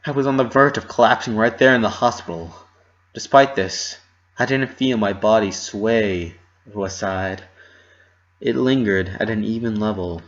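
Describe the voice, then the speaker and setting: A man's voice: fairly low pitch, a bit bright, lo-fi sound. A man giving a theatrical, emotive dramatic reading of a first-person story. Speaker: amateur story reader.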